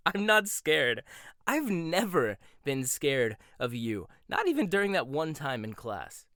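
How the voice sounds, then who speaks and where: A man's voice: on the high side, a bit bright, dry recording. Man playing a smug rival chef, close and dry. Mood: taunting. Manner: teasing, smug, laughs often. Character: cocky rival male chef, condescending and competitive. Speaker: voice actor. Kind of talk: boyfriend ASMR roleplay.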